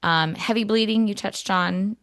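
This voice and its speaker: A woman's voice: mid-range voice, measured pace, a bit bright, no room sound. A woman hosting a podcast, chatting conversationally with fillers as she steers the interview. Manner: conversational, with fillers and agreeing murmurs. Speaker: podcast host. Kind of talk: casual interview chat.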